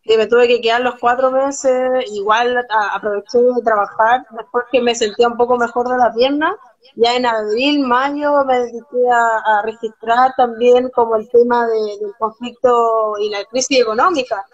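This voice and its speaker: A woman's voice: fairly high pitch, fairly even intonation. Young Chilean woman on a lo-fi call. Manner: earnest, talks fast with many restarts. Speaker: guest, documentary photographer. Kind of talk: casual live-stream interview. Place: small room at home, indoors. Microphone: phone camera on a video call.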